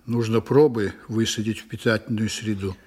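A stern starship commander in a space radio play. The voice is low and very husky, the delivery sardonic and weighty. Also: male voice, steady tone, normal speed, darkish tone. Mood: weighty, brooding. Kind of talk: science-fiction audio drama acting.

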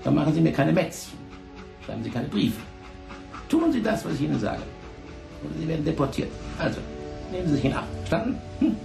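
A man's voice: on the high side, very animated, a little fast, vocal fry, pauses often, roomy sound, music in the background, exaggerated voice. A man playing a menacing, contemptuous French police official in a radio drama, curt and commanding. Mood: threatening.

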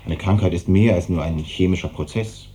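An actor playing an evasive, hesitant hospital doctor in a 1950s German radio drama, on an old noisy recording. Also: male voice, low-pitched, brisk, warm tone, natural voice. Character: evasive, brisk hospital doctor, uneasy with hard truths.

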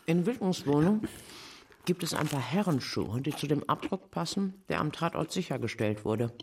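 A man's voice: a little high, animated, normal speed, slight background noise. A man acting a police investigator in a crime audio drama, matter-of-fact with a wry, needling edge.

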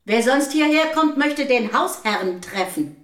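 A woman's voice: sits high, lively intonation, unhurried, fairly bright tone. An actress in a German radio play voicing a wary, plain-spoken woman who answers tersely and with reserve. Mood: wary, puzzled.

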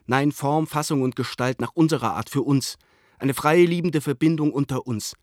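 A man's voice: medium pitch, normal speed, darkish tone, dry recording, clean studio sound, natural voice. An actor in a radio play voicing an earnest, idealistic man who reads his rousing letter with emphatic declamation.

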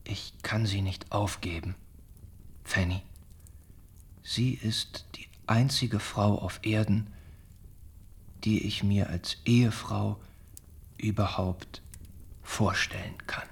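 An earnest young gentleman in love, in a period radio play. His voice is fairly low and breathy. He delivers his words like a heartfelt letter, measured and intimate. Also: fairly even intonation, heavily acted. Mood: troubled, intimate. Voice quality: husky, breathy. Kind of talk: German radio play acting.

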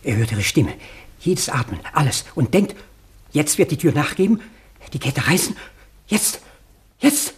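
Husky, excitable man. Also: on the high side, a little slow, long pauses, exaggerated voice. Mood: mounting suspense. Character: excitable man vividly imagining a murder scene. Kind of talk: German radio play acting.

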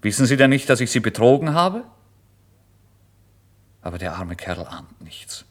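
A man's voice: mid-range voice, big pitch swings, unhurried. An actor playing a starving, feverish, proud man who narrates his own torment in a theatrical, intense radio monologue. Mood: indignant, haughty, then tense recounting. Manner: theatrical, intense, swinging from hushed to loud outbursts. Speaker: first-person narrator. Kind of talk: dramatic literary monologue for radio.

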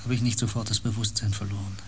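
An actor playing a haunted, fearful man on a space expedition in a radio play, speaking with brooding, breathy intensity. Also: lowish voice, flat delivery, fast, a little hiss or hum. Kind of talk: German science fiction radio play acting.

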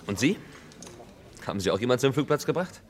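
An actor playing a polite, inquisitive young stranger in a vintage German radio crime play. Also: male voice, mid-range voice, big pitch swings, fairly quick. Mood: polite, curious. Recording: clear ambient noise behind.

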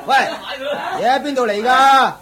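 A man's voice: extremely high pitch, fairly even intonation, moderate pace, crowd noise. A man voicing a middle-aged auctioneer who chuckles and lectures in a knowing, chatty way in a radio play. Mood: amused.

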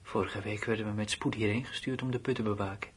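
An actor playing a blunt, uneasy soldier in a Dutch radio drama, with plain, colloquial, matter-of-fact delivery. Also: male voice, on the low side, steady tone, fast, husky. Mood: bewildered, aggrieved. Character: blunt, uneasy male soldier left behind at his post. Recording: noisy old radio play recording.

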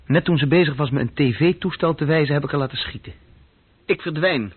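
A man's voice: fairly high pitch, fairly quick, husky. An actor playing a restless, self-reproaching young office clerk who tells his story hesitantly and conversationally in a radio play. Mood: reflective, restless. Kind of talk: radio play dialogue and recollection. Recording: thin, phone-like old radio recording.

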